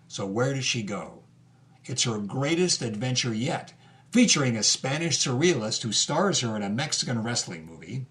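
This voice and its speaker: A man's voice: mid-range voice, fairly bright tone, natural voice. A man playing a composed documentary host, interviewing and reading scripted narration.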